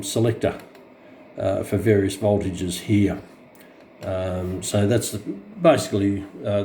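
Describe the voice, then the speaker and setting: An Australian man giving an unscripted hands-on product demonstration, explaining things in a halting, matter-of-fact way into a camera mic. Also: fairly low pitch, mellow, slight background noise. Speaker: radio collector presenting a review.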